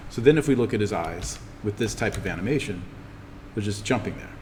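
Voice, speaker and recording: Man, lowish voice, software tutorial, informal, thinking aloud, background noise. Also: fairly quick. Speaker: teacher of an introductory 3D animation class. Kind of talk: in-class software tutorial, talking through steps. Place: classroom. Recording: steady noise behind the voice.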